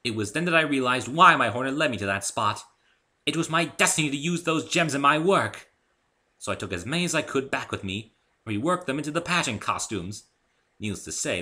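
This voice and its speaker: A man's voice: medium pitch, pushed and bright, voice pushed up. A man plays a refined lady unicorn from a storybook, a fashion designer with a theatrical streak. The voice is lifted and swoops dramatically, lingering genteelly on key words. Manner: genteel, dramatic, drawn-out emphasis.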